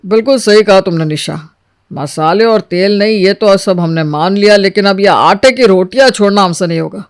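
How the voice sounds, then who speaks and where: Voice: mid-range voice, moderate pace, smooth, close to an everyday voice. A storyteller voicing a grumbling adult man of the household who objects and insists on his habits. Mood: displeased, insistent. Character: grumbling adult brother-in-law attached to his usual food.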